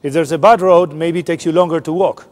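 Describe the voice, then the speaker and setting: A man's voice: on the high side, fairly even intonation, brisk, a little mellow. A man giving a public science lecture, explaining informally and often restarting his sentences. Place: large lecture hall. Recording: long hall reverb.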